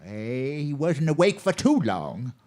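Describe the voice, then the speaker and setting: A man playing a dry, crusty American frontier doctor in a radio western, wry and drawn-out. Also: mid-range voice, big pitch swings, warm tone. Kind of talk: old-time radio western acting.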